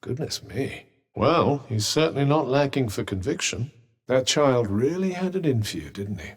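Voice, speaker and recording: A man's voice: mid-range voice, animated, normal speed, breathy edge. A man with a British accent voicing a haughty, sardonic talking magical object in a video game, with a dry, condescending and theatrical manner. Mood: dry, musing. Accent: British English. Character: sardonic, haughty, well-spoken talking magical cuff, male. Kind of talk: video game character dialogue.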